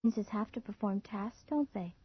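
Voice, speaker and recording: Husky, mocking young woman played by an actress. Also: medium pitch, moderate pace, no echo, close to an everyday voice. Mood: teasing, eerie. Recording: muffled old radio drama recording.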